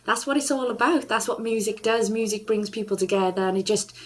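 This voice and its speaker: A woman's voice: mid-range voice, a little fast. A woman singer and charity ambassador chatting in an interview, bubbly and enthusiastic, laughing often as she talks. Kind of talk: casual interview chat.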